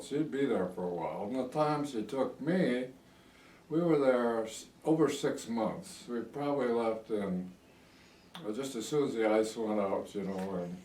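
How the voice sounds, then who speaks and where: A man in his eighties with an American accent, reminiscing in a halting, rambling way in a casual interview. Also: on the low side, warm tone, a little hiss or hum. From United States. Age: 80s. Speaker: oral history interviewee. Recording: echoey room, distant microphone.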